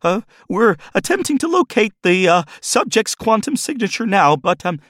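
A jittery young technician, voiced by a man in a strained, much higher voice, stammering and tripping over his words. His delivery is full of hesitant fillers and sudden jumps in pitch. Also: big pitch swings, normal speed, a bit bright, no room sound, studio quality, full character voice. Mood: nervous. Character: nervous stammering male lab technician.

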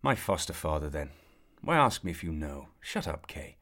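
A man's voice: a bass voice, sing-song, normal speed, a bit bright, exaggerated voice. A man voicing a resentful young boy squire, speaking sulkily. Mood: curt.

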